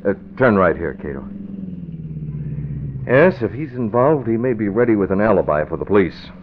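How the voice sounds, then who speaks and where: Commanding masked vigilante hero, low voice, decisive orders; tinny 1940s radio serial. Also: male voice, big pitch swings, normal speed, slight background noise. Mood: deliberate. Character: masked male crime-fighter, resolute, commanding. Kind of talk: 1940s radio adventure acting. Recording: tinny vintage radio recording, music.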